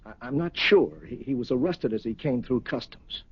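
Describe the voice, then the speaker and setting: An actor playing an uneasy male family friend in a 1970s radio drama, gravelly and husky, stammering reluctantly. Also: mid-range voice, moderate pace, lo-fi sound, lightly acted. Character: hesitant, uneasy male family friend bearing bad news.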